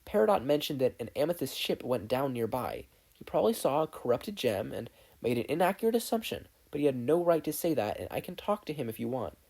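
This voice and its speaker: Young warrior woman for audio fan fiction, acted by a narrator: mellow, firm, reassuring, fiercely protective. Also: mid-range voice, sing-song, a little fast, vocal fry, few pauses, husky, full character voice. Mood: steady, caring.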